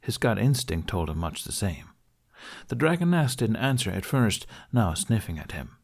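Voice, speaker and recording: Low-pitched male audiobook narrator. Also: sing-song, normal speed, creaky. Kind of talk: scripted fantasy story narration.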